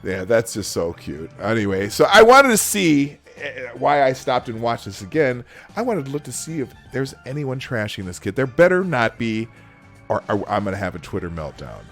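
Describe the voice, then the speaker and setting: A man's voice: medium pitch, sing-song, normal speed, a bit bright. A man hosting a casual livestream, rambling and correcting himself as he reads comments aloud. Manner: rambling, self-correcting, chuckling. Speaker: livestream host. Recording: background music under voice.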